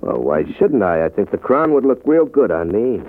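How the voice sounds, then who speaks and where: An actor playing an arrogant young boxer in a 1950s radio drama, swaggering and sneering. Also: male voice, lowish voice, very animated, moderate pace. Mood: cocky. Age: young adult. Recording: tinny old radio broadcast with faint hiss.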